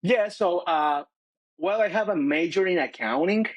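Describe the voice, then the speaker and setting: Man with a Chilean accent, fairly high voice. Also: measured pace, no room sound, dull audio. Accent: Chilean Spanish. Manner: thoughtful, many fillers and restarts. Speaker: guest, finance director. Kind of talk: casual interview chat.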